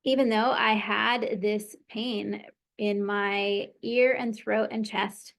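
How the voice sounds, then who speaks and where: A woman coaching a client through tapping, speaking in an encouraging tone and calling out phrases for her to say back. Her voice carries a noticeable gravelly fry. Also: mid-range voice, steady tone, slow. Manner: encouraging, leads phrases for repeating. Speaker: tapping practitioner. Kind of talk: guided tapping session.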